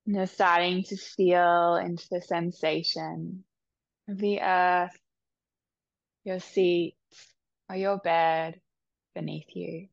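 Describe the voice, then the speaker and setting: A woman leading a guided meditation with soft, soothing instructions. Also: fairly low pitch, level tone, gravelly, no echo, highs cut off. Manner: soft, slow, soothing instructions with long pauses.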